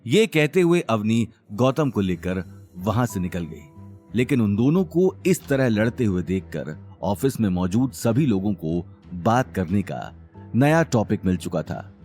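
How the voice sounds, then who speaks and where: A man narrating a scripted audio drama in a dramatic, expressive storytelling manner. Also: lowish voice, measured pace, soft background music.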